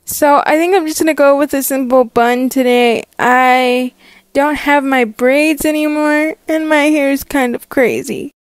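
Young female creator, high smooth voice, bubbly casual roleplay vlog delivery, close dry sound. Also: steady tone, a little slow, no room sound. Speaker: story channel creator voicing a character.

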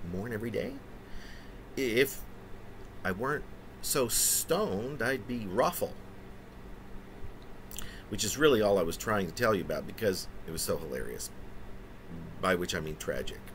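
A man in his fifties performs a poem in a low-pitched voice. His delivery is theatrical and animated, broken into halting phrases with chatty asides. It is recorded on a webcam indoors, with a little background noise. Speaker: poet reading his own poem. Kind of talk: dramatic poetry reading with conversational asides. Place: small room indoors.

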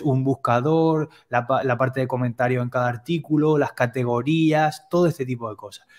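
Man, young, step-by-step explainer, headset mic, video call, home room. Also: mid-range voice. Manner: plain, step-by-step explanations with quick asides. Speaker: web development teacher, guest expert.

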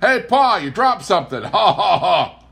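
A man voicing a gleeful, mocking young farm fellow, loud and rustic, in a storybook reading. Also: high-pitched, sing-song, moderate pace, gravelly, pitched above the performer's own voice, heavily acted.

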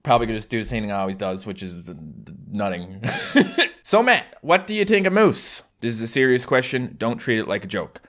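A man's voice: lowish voice, big pitch swings, brisk, a bit bright. A man in his twenties from Newfoundland with a strong Newfoundland accent, joking and self-mocking as he talks to camera from a small room at home. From Newfoundland, Canada. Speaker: YouTuber. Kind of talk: casual vlog to camera.

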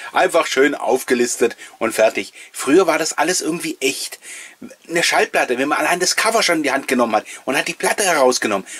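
A man's voice: mid-range voice, fairly bright tone, husky, dry recording. A middle-aged man giving a casual, nostalgic voice-over commentary, reminiscing with wistful enthusiasm as the host. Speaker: host of a collectors' video channel.

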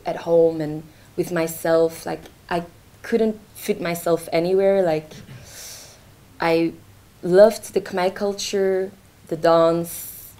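Unhurried woman artist with a mellow voice. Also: lowish voice. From Belgium. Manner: hesitant, many fillers, gestures while talking. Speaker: artist presenting her work. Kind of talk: informal talk at a launch event. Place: lecture theatre, indoors.

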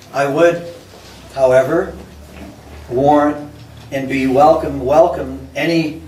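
An older man speaks at a podium in a community hall, talking plainly and unhurriedly with pauses. His voice sits at a middle pitch. It carries echo and sounds picked up from a distance, with faint noise behind. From central New York. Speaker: landowner giving public comment. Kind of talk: public hearing statement. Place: community center hall, indoors. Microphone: podium mic from a distance.

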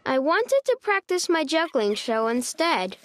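A woman narrating a children's storybook in an expressive, deliberate way, stretching key words. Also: high voice, background noise.

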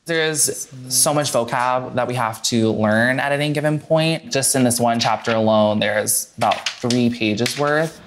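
A man's voice: medium pitch, measured pace, slight background noise. A young man, a university student, talking earnestly and sounding out words, partly in his room and partly on a city street. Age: young adult. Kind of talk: vlog-style documentary talk. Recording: music bed under voice. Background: music.

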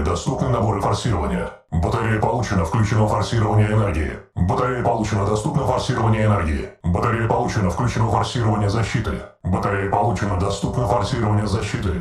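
A man's voice: fairly low pitch, lightly acted. A man voicing a male heavy war robot in a dubbed game, giving terse military status reports. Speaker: game voice actor. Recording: roomy reverb, distant, music behind.

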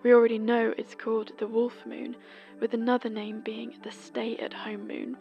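A woman from Britain, host of a folklore podcast, reading a scripted seasonal almanac in a gentle, whispery way. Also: a little high, flat delivery, normal speed, vocal fry, muffled recording, music in the background.

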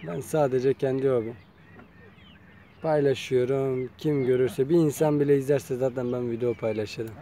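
A man's voice: medium pitch, steady tone, normal speed, warm tone, a little hiss or hum. A man talking casually to his viewers while filming his chickens in an outdoor yard on a phone camera, chatty and coaxing. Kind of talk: casual vlog talk to viewers.

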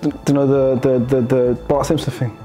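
A man's voice: mid-range voice, little pitch movement, fairly quick, music in the background. A man in his twenties hosting a guessing game, trading teasing, competitive trash talk with his opponent. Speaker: channel host. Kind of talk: casual game banter. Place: indoor lounge room.

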